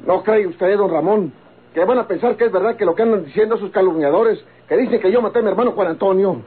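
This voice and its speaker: Blustering, folksy Mexican rancher under arrest, high-pitched and raspy, on a narrow old radio recording. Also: male voice, quick pace. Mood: indignant, agitated. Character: indignant, agitated rancher held prisoner, proud of his family. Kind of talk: golden-age Mexican radio drama acting.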